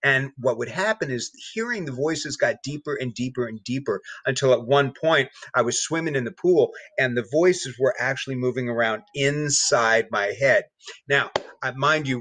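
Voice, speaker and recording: Halting, bright-voiced man. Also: mid-range voice, no echo. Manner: halting, with many repeated words and restarts. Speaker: podcast guest. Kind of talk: personal account in casual conversation.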